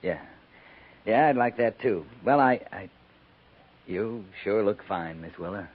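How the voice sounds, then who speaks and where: A halting cavalry captain, thin old-radio sound. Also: male voice, on the low side, lively intonation, a little hiss or hum. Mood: shy, tired. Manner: halting, bashful, plain frontier speech. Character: tired, shy, plain-spoken cavalry captain. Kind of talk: 1950s radio western acting. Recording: narrow-band vintage 1950s radio recording.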